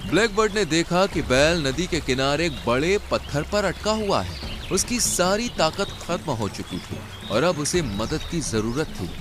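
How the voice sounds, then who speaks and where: Animated male cartoon story narrator. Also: a little high, a little slow.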